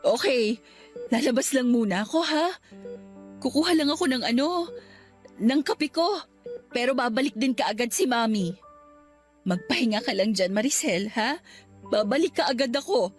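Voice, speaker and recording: A woman's voice: fairly high pitch, lively intonation, normal speed, long pauses, faint music behind, lightly acted. A woman playing a devoted, anxious mother of a sick child in a radio drama, tender and emotional. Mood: soothing.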